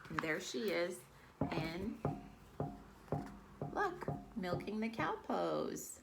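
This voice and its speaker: A woman's voice: lowish voice, vocal fry, noisy background. A woman reading a picture book aloud to young children and talking to them directly as a storytime host and yoga teacher. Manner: talks directly to children, addressing them as friends. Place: at home, virtual storytime.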